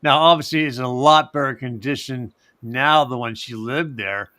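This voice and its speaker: A man from Pennsylvania with a light Pennsylvania accent, hosting a rambling, halting live chat. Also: mid-range voice, sharp tone, dry recording, clean studio sound. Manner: rambling, halting, many fillers and restarts. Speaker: host. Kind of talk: casual live-stream chat.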